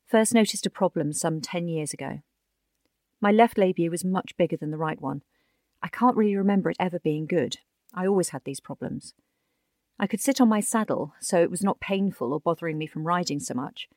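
A woman's voice: on the low side, darkish tone, no room sound, studio quality. A woman narrating a written magazine feature as a scripted audio read. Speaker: narrator reading a magazine article.

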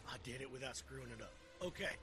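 A man's voice: mid-range voice, expressive, fast talker, over music. A man hosting a podcast in casual chat, with a jokey, self-deprecating manner. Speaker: podcast host. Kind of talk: casual chat about games and music.

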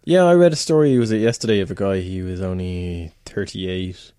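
A man's voice: sits low, normal speed, dark tone, smooth, dry recording, studio quality. A man in casual podcast chat, agreeable and chatty, often chiming in with agreement. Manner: agreeable, chatty, frequent backchannel.

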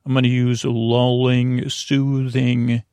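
A man hosts a sleep podcast in a gravelly, creaking voice at a middling pitch. He meanders through a bedtime monologue, halting, restarting and wandering off topic. The sound is dry, with no room echo. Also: little pitch movement, warm tone. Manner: halting, self-interrupting, trailing into tangents.

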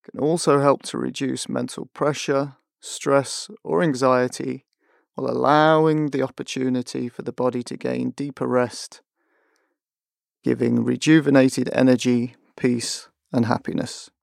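A man leading a guided mindfulness meditation, giving gentle, measured instructions with long pauses. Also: mid-range voice, little pitch movement, gravelly, no echo, studio quality.

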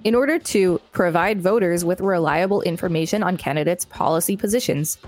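Lower-pitched woman reading with casual asides. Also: a little fast, faint music behind. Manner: conversational, informal asides. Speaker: podcast co-host. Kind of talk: reading an article aloud with casual commentary.

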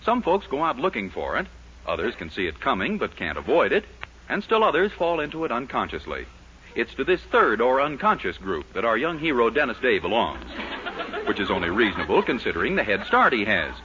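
An actor as a wry, mock-grave narrator delivering scripted 1940s radio comedy storytelling. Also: male voice, medium pitch, wildly swooping pitch, fairly quick, bright, forceful tone, a little hiss or hum. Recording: muffled 1940s radio recording with music.

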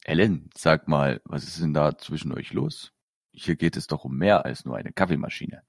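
A man voicing a calm, wry male detective colleague who speaks in a dry, expert, placating way. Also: very deep voice, normal speed, vocal fry, husky, dry recording, full character voice. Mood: probing.

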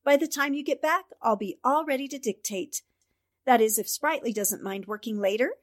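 A self-assured lady reporter as acted by a woman speaks in a very high voice. She is brisk and decisive, in the manner of a 1940s radio play. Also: lightly acted. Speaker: actor. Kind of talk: 1940s radio drama acting.

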